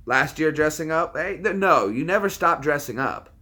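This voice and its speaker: A man's voice: a little high, animated, normal speed, pushed and bright. A man in his thirties hosting a livestream, chatting casually with viewers in a rambling, laid-back way. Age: 30s. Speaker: livestream host.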